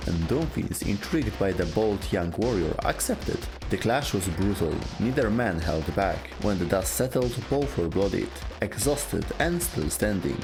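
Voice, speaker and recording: A man reading a scripted explainer narration as the narrator of a video game lore show. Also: fairly low pitch.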